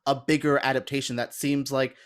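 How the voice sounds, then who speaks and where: A man chats casually as a podcast panelist. His voice sits at a middle pitch, and he offers opinions with open enthusiasm. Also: level tone, brisk, clean tone. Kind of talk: casual chat.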